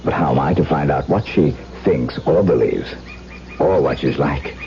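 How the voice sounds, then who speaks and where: A man playing an ardent, lovestruck gentleman in a radio drama, telling his tale in a florid, intense, romantic way. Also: a bass voice, normal speed. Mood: eager, smitten. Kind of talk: 1970s radio drama acting and dramatic narration. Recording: dull 1970s radio broadcast.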